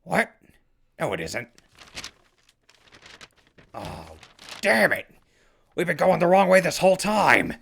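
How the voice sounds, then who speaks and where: A man's voice: fairly high pitch, very animated, slow pace, gravelly, long pauses, fairly bright tone, husky, exaggerated voice. A man playing a wry, weary wasteland survivor who runs a radio station, speaking casually and hesitantly in an audio drama. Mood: exasperated. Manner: casual, hesitant, dryly sarcastic. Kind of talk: naturalistic audio drama acting.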